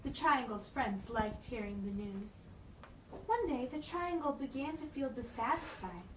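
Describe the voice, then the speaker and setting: A woman's voice: fairly high pitch, expressive, measured pace. A woman narrating a children's storybook aloud as a dramatic reading. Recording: echoey room, distant mic, noisy.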